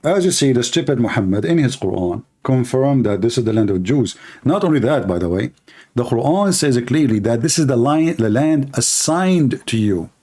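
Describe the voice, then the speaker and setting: Male live teacher, Arabic accent, native Arabic speaker. Mid-pitched, dark tone, informal and mocking, leans on rhetorical questions. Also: expressive, normal speed, husky. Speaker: live stream host and religious polemicist.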